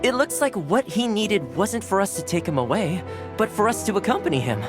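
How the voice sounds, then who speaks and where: A gentle, lively young housekeeper, music behind. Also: male voice, high-pitched, a little fast. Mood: soothing, encouraging. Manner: gentle, friendly, earnest. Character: kind, upbeat young male housekeeper, gentle with animals. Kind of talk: video game character voice acting. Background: background music.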